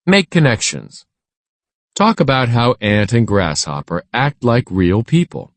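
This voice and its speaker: A man reading a scripted children's lesson aloud in a measured, instructional way. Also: mid-range voice, dry recording, studio quality.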